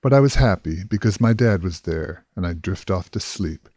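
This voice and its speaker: A man's voice: low-pitched, animated, moderate pace, darkish tone, no echo, clean studio sound. A man narrating a scripted first-person story. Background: music.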